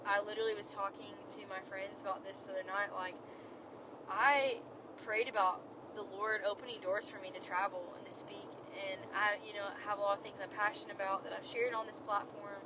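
A woman's voice: mid-range voice, flat delivery, a little fast. A young woman, speaking as a podcast host in casual faith talk, earnest and encouraging, her voice still cracked after being sick, recorded while driving in a car. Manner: earnest, encouraging, rambling with many fillers. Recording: dull lo-fi sound, road noise behind.

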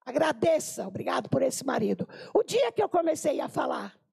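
A woman's voice: high voice, fairly quick, gravelly, husky. An older Brazilian woman giving an informal sermon to women, telling anecdotes and acting out the people she quotes. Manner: animated, acts out quoted voices, exclaims. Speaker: preacher at a women's gathering.

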